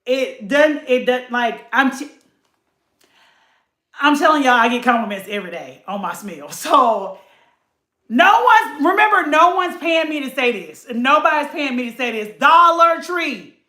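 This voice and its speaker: A woman's voice: high voice, big pitch swings, measured pace, bright, forceful tone, reverberant. A woman, chatting casually to camera about a beauty haul and addressing viewers as y'all. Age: late 30s.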